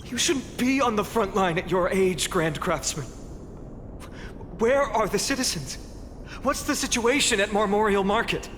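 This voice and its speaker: An actor playing a grave, resolute young heroic lord in a video game, speaking in a measured, formal and earnest way. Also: male voice, high-pitched, background noise. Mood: concerned. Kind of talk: English video game voice acting. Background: game music.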